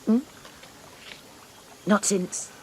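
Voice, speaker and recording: A prim, polite spinster. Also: female voice, drawn-out words, mellow, a little hiss or hum. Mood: tender. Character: prim, proper spinster missionary woman. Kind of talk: radio drama acting.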